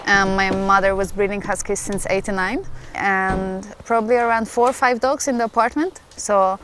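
Young woman, from Hungary, English, emotional storytelling, mid pitch, music behind. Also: fairly even intonation, moderate pace. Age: young adult. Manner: earnest, emotional, chatty with fillers. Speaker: interviewee. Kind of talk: personal storytelling interview. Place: outdoors in a forest. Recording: clear music bed under the voice.

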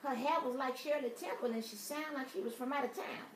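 A woman's voice: high voice, brisk, close to an everyday voice. A woman playing an aggrieved, easily offended customer, rambling and swearing through a prank phone complaint. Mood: indignant complaining. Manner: indignant, rambling, repetitive, foul-mouthed. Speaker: prank caller. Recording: roomy, distant, noisy phone prank recording.

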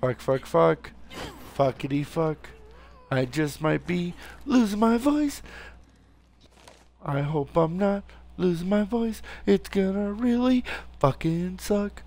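A tired, hoarse man giving casual let's play commentary, swearing and yelping at the game. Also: high voice, takes it slowly, a little mellow. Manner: swears a lot, yelps at the game.

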